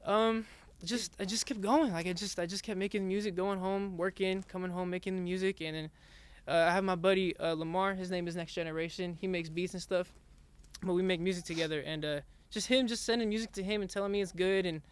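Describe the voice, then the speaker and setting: A young man, a rap artist being interviewed, talking in a soft, halting way with many restarts. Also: high voice, fairly even intonation. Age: young adult. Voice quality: raspy singing voice. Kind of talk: casual interview chat.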